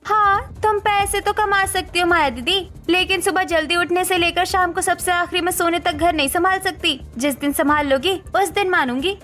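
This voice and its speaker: Very high-voiced earnest young housewife acted by a woman. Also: fairly even intonation, normal speed, few pauses, fairly bright tone, soft background music. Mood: indignant. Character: proud, hurt young housewife daughter-in-law in cartoon.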